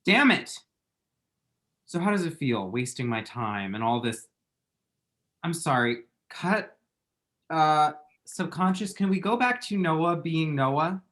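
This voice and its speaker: A man's voice: a little high, animated, slow pace, pauses often, fairly bright tone. An actor playing an anxious, lovestruck young man in a screenplay table read, chatty, emphatic and self-dramatizing. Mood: exasperated.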